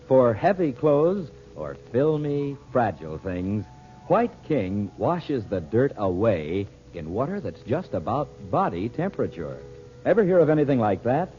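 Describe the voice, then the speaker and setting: A man announcing on the radio, reading a chatty, persuasive advertisement. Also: warm tone. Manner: chatty, persuasive sales pitch. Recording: muffled old radio broadcast.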